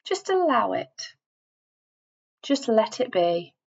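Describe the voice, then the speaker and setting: A woman reading a scripted guided relaxation in a measured, instructing manner, leaving deliberate pauses between phrases. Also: on the high side, big pitch swings, gravelly, husky, dull audio. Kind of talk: scripted guided meditation voiceover.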